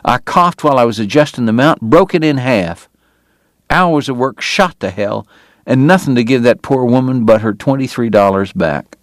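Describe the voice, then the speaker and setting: A consumptive frontier dentist, proud and bitter, speaking in slow, pained, formal sentences that rise high and strained when his temper flares, as a male reader puts him on. The voice is dry and close, with no room around it. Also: dry recording, close to an everyday voice. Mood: despairing. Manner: pained, proud, formal diction breaking into anger. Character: ailing, bitter consumptive Old West dentist, man.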